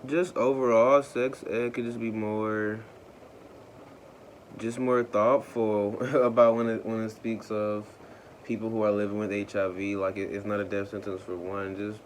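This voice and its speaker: Soft-spoken young man, low voice. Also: little pitch movement, unhurried, a little hiss or hum. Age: 20s. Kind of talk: interview testimony.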